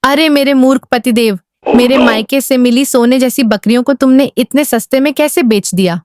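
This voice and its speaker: A woman's voice: sits high, moderate pace, darkish tone, no room sound, studio quality, close to an everyday voice. A woman playing a greedy, scheming village wife in a cartoon, scolding and commanding with sarcasm. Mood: scolding, demanding. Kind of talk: Hindi cartoon voice acting.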